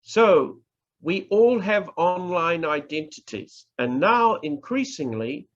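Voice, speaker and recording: Deliberate New Zealand man, very lively intonation. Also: a little high. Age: middle-aged. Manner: deliberate, emphatic, with pauses and repetition. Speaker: professor teaching public speaking. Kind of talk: instructional online lecture. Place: indoors, online presentation. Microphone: video call microphone. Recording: muffled lo-fi video-call audio.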